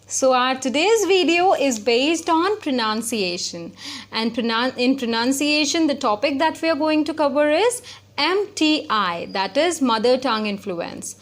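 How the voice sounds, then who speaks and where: A woman's voice: high voice, a bit bright. A woman giving a spoken lesson, explaining step by step and repeating her points. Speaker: English teacher.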